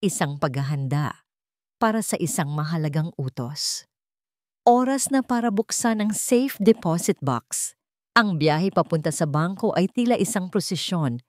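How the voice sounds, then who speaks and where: A woman's voice: lowish voice, lively intonation, a little slow, darkish tone, no echo, clean studio sound. A woman reading a scripted dramatic story as its narrator.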